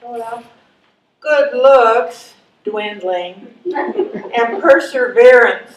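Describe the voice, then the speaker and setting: A woman's voice: fairly high pitch, expressive, very slow, a little hiss or hum. A woman playing a wry, stubborn, hungry caller of seventy-three in a live readers theatre reading. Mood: exasperated. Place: live stage reading in a room. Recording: echoey room, distant microphone.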